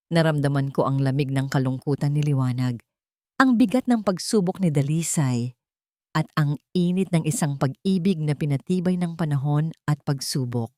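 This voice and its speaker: A woman's voice: deep voice, a little mellow, no echo, clean studio sound. A woman narrating a scripted romantic drama story as host, in an earnest, sentimental manner.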